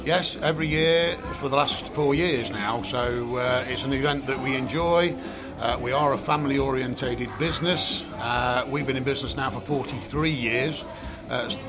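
A man being interviewed as a company representative, speaking earnestly and haltingly in an informal promotional way. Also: medium pitch. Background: music.

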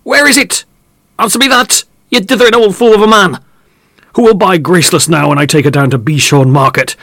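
A grasping farmer: strained high shouting, bitter scolding. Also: male voice, brisk, dry recording, studio quality, exaggerated voice. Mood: furious. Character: angry grasping male farmer, middle-aged.

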